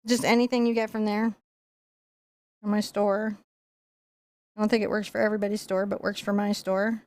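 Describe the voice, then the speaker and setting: A woman's voice: mid-range voice, flat delivery, normal speed, darkish tone, no echo. A woman giving a live painting lesson, chatting and laughing while she explains each brushstroke. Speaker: painting instructor.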